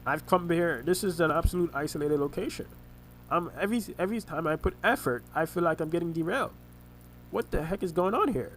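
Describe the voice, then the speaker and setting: A man recounting family arguments in a rambling monologue, halting and often restarting his words, his voice congested and his throat sore. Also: fairly high pitch, expressive, a bit bright, a little hiss or hum, poor audio. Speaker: vlogger recounting family conflicts. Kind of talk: rambling personal monologue over a screen recording.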